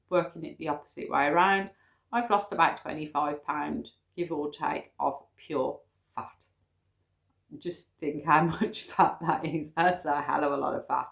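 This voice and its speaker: British woman, 50s, vlogger; deep with vocal fry, chatty, methodical. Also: fairly bright tone. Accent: British English. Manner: chatty, explains figures step by step, frequent ums. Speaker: weight-loss vlogger. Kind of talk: casual talk to camera.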